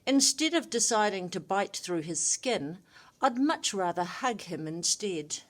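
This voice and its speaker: A woman voicing a small, gentle young male lion in a storybook, earnest and loving. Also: medium pitch, animated, measured pace. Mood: earnest, bewildered. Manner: bewildered, pleading.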